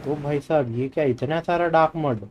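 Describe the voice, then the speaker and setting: A man's voice: on the high side, racing, darkish tone, dull audio, a little hiss or hum. A man with an Indian accent live-streaming a game, switching between English and Hindi as he reads story dialogue aloud and comments.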